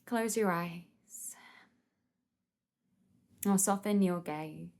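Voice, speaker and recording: A woman leading a guided meditation in a gentle, soothing, unhurried manner with pauses between phrases. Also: lowish voice.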